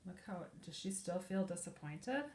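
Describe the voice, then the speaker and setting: A woman's voice: fairly low pitch, expressive, moderate pace, a little mellow, slight background noise. A woman preschool teacher reading a picture book aloud to young children, explaining simply and asking them questions. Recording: echoey room.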